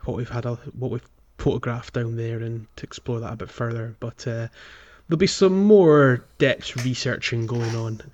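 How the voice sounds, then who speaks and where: A man with a mid-pitched voice hosts a relaxed video game podcast. He recaps his progress conversationally, often pausing and feeling his way through the sentence. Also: muffled recording. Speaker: podcast host. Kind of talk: casual gaming podcast chat, recapping a playthrough.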